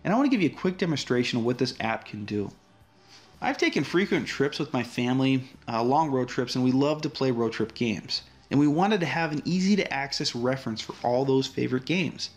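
Relaxed man demoing an app. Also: medium pitch, brisk. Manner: relaxed, friendly walkthrough with frequent fillers. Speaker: app developer. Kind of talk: casual screen-recorded app demo.

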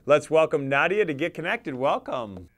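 A man's voice: fairly high pitch, sing-song, normal speed. A middle-aged man hosting a TV interview show, introducing and questioning his guest with enthusiasm. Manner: enthusiastic, showy. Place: TV studio.

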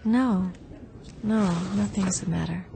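A woman's voice: mid-range voice, takes it slowly, gravelly, noisy background. A woman playing an anxious, hesitant young traveler in a radio drama, flustered yet insistent. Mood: puzzled, uneasy. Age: young adult.

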